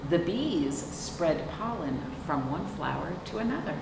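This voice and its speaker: A woman's voice: sits low, big pitch swings, a little slow. A woman hosting a children's storytime, reading aloud expressively and prompting young listeners with simple questions. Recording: roomy, distant mic, clearly noisy.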